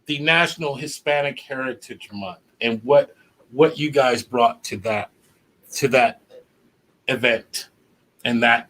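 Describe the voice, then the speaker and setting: A man hosting a casual interview podcast, talking in an animated, emphatic way. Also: medium pitch, poor audio. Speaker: podcast host.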